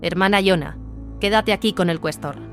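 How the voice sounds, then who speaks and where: Una mujer que interpreta en un audiodrama a una hermana de batalla, severa, tajante, acostumbrada a mandar. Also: female voice, mid-range voice, normal speed, a bit bright, close to an everyday voice. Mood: commanding. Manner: commanding, clipped, authoritative. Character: Mirilla, stern commanding battle-sister squad leader. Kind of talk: audio drama acting. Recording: dramatic music behind the voice.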